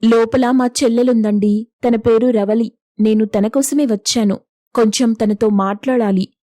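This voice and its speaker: ఒక స్త్రీ పోషించిన మృదువుగా మాట్లాడే పేద అక్క, మెత్తని గొంతు. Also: female voice, a little high, steady tone, measured pace, mellow, no echo, studio quality, natural voice. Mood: polite pleading. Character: gentle, self-sacrificing poor young elder sister.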